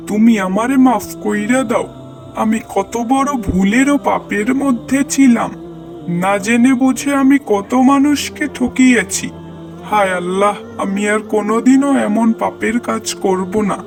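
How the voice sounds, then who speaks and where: Man acting a high, creaky repentant villager. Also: unhurried, soft background music. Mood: anguished remorse. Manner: dramatic, penitent pleading. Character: remorseful village cheat begging God's forgiveness. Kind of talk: moral cartoon voice acting.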